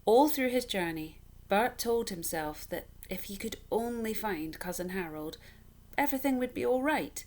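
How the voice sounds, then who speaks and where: A woman reading a children's story aloud in an expressive, measured, dramatic storytelling style. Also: medium pitch.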